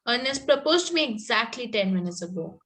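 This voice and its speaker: Woman as a spirited young Victorian country girl, high-voiced. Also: lively intonation, a little fast, fairly bright tone. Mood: politely defiant. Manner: polite, pointed, stumbles over lines. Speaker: table-read participant. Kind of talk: amateur reading of a Victorian comedy play.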